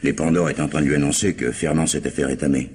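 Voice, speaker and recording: Deep-voiced indignant ex-con. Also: male voice, fairly even intonation, fast, a little mellow, a little hiss or hum. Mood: earnest, justifying. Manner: slangy, protesting, emphatic. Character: streetwise ex-convict under police questioning, indignant, self-justifying. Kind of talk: French 1950s radio crime drama acting.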